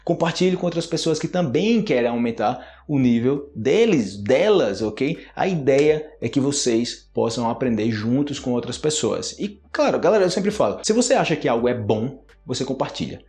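A man's voice: mid-range voice, moderate pace, hardly any pauses, faint music behind. A man in his thirties teaching Portuguese to camera in a small room, explaining words casually, checking in often with "né?" and "ok?". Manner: lively, gestures a lot, frequent "né?" and "ok?" check-ins. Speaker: Portuguese teacher, channel host. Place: small indoor room, plain wall. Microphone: close camera framing, upper body.

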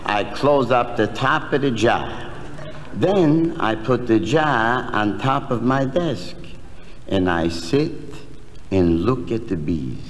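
A smug, unbothered man in a sixties comedy sketch. He speaks slowly and matter-of-factly, in a reverberant hall with clear noise. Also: medium pitch, lively intonation. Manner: matter-of-fact, self-satisfied. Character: smug, callous, relaxed man with an absurd hobby. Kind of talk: 1960s comedy album sketch acting. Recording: echoey, noisy hall with audience.

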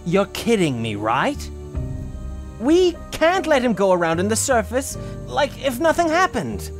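A man's voice: very high voice, huge pitch swings, unhurried, exaggerated voice. A man voicing a stern, wary young mug brother in a cartoon comic dub, earnest and insistent. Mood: alarmed. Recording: prominent music behind the voice.

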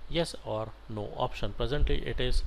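A man teaching software as an instructor, talking through an on-screen tutorial step by step in halting, instructional phrases. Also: medium pitch, gravelly, poor audio. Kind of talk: screen-share tutorial narration, unscripted step-by-step. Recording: noticeable background noise.

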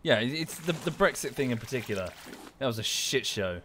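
Guy streaming, normal pitch, casual kinda halting chat, bit of game noise behind. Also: animated, a bit bright. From United Kingdom.

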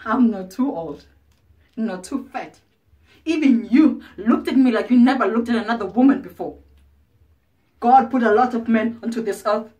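An actress playing a proud, bitter ageing former prostitute, wounded and defiant, in a confrontational stage monologue with pointed pauses. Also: female voice, a little high, darkish tone, dull audio, lightly acted. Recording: distant microphone.

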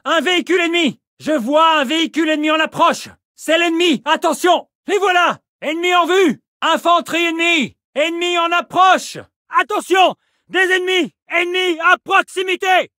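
A man's voice: very high voice, pushed and bright, dry recording, clean studio sound, exaggerated voice. A male actor playing a fierce French army soldier in a video game, barking curt, urgent, aggressive battle lines. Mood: alarmed, urgent. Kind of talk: shouted video game combat barks.

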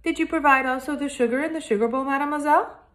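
A woman's voice: high-pitched, fast talker, fairly bright tone, voice pushed up. A woman doing a formal boy detective. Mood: courteous. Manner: stiff formal phrasing, courteous. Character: polite formal French boy sleuth.

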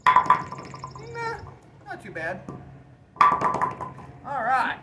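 A man's voice: high voice, normal speed, loud music behind the voice. A young man presenting a casual video as its host, recorded outdoors under an open pavilion from a camera a few metres away. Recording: far from mic, noisy open-air recording.

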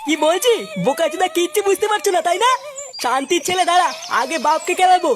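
A man voicing a hot-tempered, foul-mouthed cartoon father in an artificially high voice, blustering and scolding crudely. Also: quick pace, noisy background. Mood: furious. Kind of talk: comedy cartoon voice acting.

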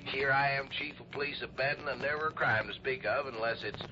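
An actor playing a weary, grudging, folksy small-town police chief in a 1950s radio drama. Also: male voice, medium pitch, steady tone, brisk, natural voice. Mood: wry resentment. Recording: noisy, dull 1950s radio recording.

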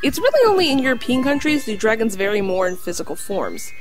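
Woman acting a high-voiced, scholarly talking dog. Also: big pitch swings, quick pace, soft background music. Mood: lecturing, composed. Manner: precise, lecturing. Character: learned talking dog, calm pedantic lore expert. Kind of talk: audio drama acting, explanatory storytelling.

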